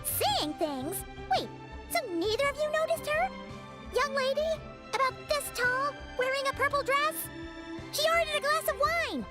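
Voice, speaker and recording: A tiny floating fairy sidekick from a video game. The voice is extremely high, squeaky and childlike. It swings up and down in excited, chatty exclamations, with music clearly playing behind. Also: female voice, a little slow. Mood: puzzled, insistent. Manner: chatty, exclamatory, speaks of self in third person. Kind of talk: video game character dialogue.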